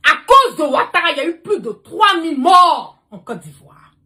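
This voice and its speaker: Female livestream host, very animated pitch, forceful and confrontational political rant with heavy emphasis. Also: moderate pace. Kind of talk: impassioned political rant to followers.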